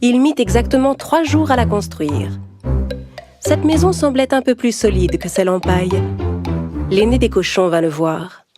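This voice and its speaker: A woman's voice: a little high, lively intonation, moderate pace. A woman storyteller reading a scripted fairy tale for young children. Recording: music behind the voice.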